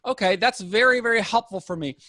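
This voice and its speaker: High-voiced inquisitive man in his fifties. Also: fairly quick, sharp tone, dry recording. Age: 50s. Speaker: interviewer asking about retirement investing. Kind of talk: conversational interview.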